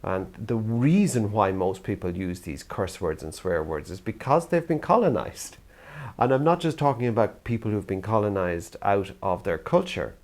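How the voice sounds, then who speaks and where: A man's voice: lowish voice, lively intonation, moderate pace, darkish tone. An older Irish man from the theatre world gives an earnest, thoughtful talk to camera. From Ireland. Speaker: youth theatre practitioner.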